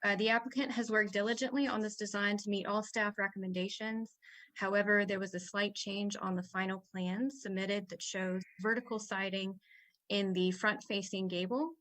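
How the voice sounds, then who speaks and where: A woman's voice: medium pitch, steady tone, normal speed, fairly bright tone, no echo, poor audio. A woman on a commission staff, giving a formal case presentation as if read from notes.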